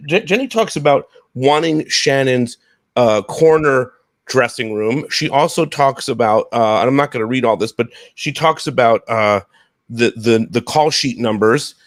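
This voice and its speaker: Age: 30s. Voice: man, halting, dry sound. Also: mid-range voice, dry recording. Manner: halting, repeats phrases. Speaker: podcast host. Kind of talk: casual discussion. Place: home room, video call.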